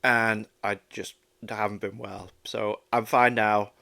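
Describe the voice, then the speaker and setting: A man's voice: fairly low pitch, level tone, slow pace, vocal fry, sharp tone. A man with a head cold chats informally and enthusiastically as a reaction host. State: congested with a cold. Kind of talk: casual chat and short reactions.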